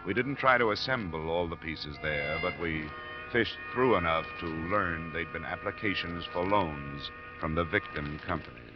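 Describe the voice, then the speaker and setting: Man as a deep-voiced radio detective over music. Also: moderate pace, lightly acted. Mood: dry narration. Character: steady, matter-of-fact investigator, narrator of his own case. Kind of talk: 1950s radio drama acting and narration. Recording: muffled old radio broadcast recording.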